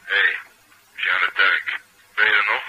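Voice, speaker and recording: Scherpe, sarcastische oudere smeris. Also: male voice, sits low, steady tone, a little slow, pushed and bright, husky, exaggerated voice, poor audio. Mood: sarcastic, menacing. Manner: sardonic, needling, threatening. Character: gruff, sarcastic older male police detective. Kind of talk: Dutch radio drama acting.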